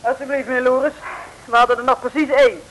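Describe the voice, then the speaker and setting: An actress from the Netherlands playing a plucky, cheeky young detective's assistant who complains pertly in a vintage Dutch comic radio serial. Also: female voice, sits high, fairly even intonation, fast talker, a bit bright, poor audio. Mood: complaining. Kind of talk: 1950s-60s comic radio serial acting. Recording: vintage radio recording with hiss.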